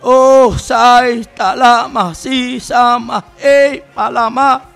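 A man's voice: extremely high pitch, steady tone, very slow, creaky, fairly bright tone, faint music behind. A man leading fervent Pentecostal intercessory prayer, pleading and exclaiming in repeated phrases. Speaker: prayer leader.

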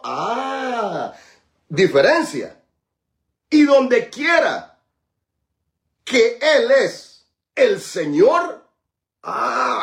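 Male preacher, high animated voice, call-and-response, drawn-out emphasis. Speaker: pastor. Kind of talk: sermon.

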